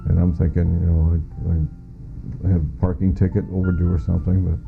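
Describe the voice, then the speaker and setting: Middle-aged American man, witness testimony, plain-spoken and halting; deep voice with heavy vocal fry. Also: level tone. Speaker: witness, former air traffic controller. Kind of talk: recounted personal testimony to camera. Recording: muffled phone-like audio with faint music.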